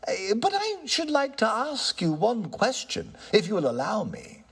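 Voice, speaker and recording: A man puts on a fussy gentleman tenant from a storybook. He is high-pitched and nervous, with wildly swooping intonation. His manner is courteous and hesitant. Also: huge pitch swings, a little slow, breathy edge, full character voice. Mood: politely reasoning. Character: nervous, polite, reasonable male tenant, frightened then chatty. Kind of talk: theatrical storybook character reading.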